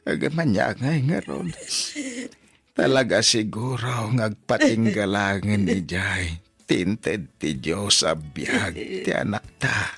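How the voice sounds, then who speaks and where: A deep, husky, resigned old grandfather. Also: lively intonation, slow pace, a bit bright, no echo, faint music behind, exaggerated voice. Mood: sad, accepting. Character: resigned, grieving old grandfather, a farming husband. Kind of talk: Ilocano radio drama acting.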